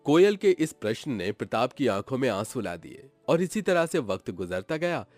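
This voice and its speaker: A man's voice: medium pitch, big pitch swings, moderate pace, a little mellow, no room sound, faint music behind. A man narrating a scripted moral story in a dramatic storytelling style.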